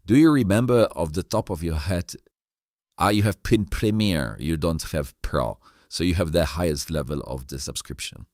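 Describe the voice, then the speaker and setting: A man with a non-native English accent hosting an interview chat, halting and stammering, often repeating words. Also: low voice, a little mellow, no echo, clean studio sound. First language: not English. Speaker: host.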